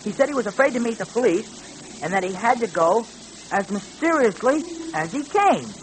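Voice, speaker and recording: An actor playing an earnest, excitable teenage boy sidekick in 1940s radio drama style. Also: mid-range voice, moderate pace, lightly acted. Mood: eager. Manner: earnest, eager, exclamatory. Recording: faintly noisy old radio recording.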